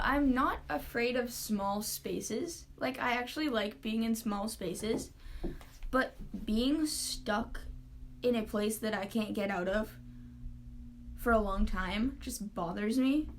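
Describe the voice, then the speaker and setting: A woman's voice: a little high, slow, a little hiss or hum. A woman chatting as a podcast guest in a casual, rambling way, filling her sentences with "like". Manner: chatty, many "like" fillers. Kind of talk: casual chat.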